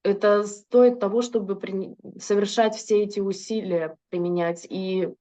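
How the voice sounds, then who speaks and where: A woman's voice: medium pitch, fairly even intonation, normal speed, warm tone. A woman interpreting a religious lecture into Russian, fluent but with occasional hesitations and self-corrections.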